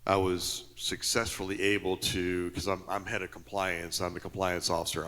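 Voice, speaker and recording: A man in the audience, a compliance officer, asking a question in a loud, direct way with hesitant fillers. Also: low-pitched. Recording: echoey conference hall.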